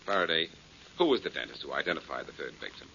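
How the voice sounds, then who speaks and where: A man's voice: very deep voice, expressive, fast talker, creaky, sharp tone, breathy edge, heavily acted. A man playing a cocky, wisecracking detective with a glib, needling manner in a 1940s radio drama. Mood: breezy teasing. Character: cocky, wisecracking amateur detective, teasing and quietly menacing. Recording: muffled, noisy 1940s radio recording.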